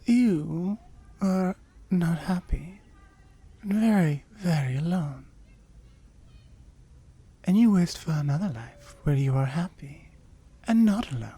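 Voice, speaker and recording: A woman voicing a seductive, smug oni demon in an intimate ASMR roleplay, breathy and often whispering as she slowly coaxes and teases. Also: lowish voice, animated, dark tone, dry recording, exaggerated voice. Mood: coaxing. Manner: slow, coaxing, teasing.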